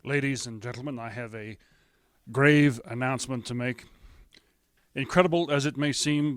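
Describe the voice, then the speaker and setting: An actor playing a male studio announcer in a 1930s radio drama, grave and measured with small stumbles. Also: medium pitch, clean tone, dry recording, natural voice.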